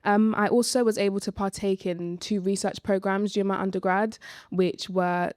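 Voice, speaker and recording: A young woman speaking informally and reflectively as a panellist, pausing hesitantly as she shares her experience. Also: medium pitch, fairly even intonation, darkish tone, no echo, clean studio sound. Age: young adult. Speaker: panellist, early-career researcher. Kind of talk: live panel discussion, personal account.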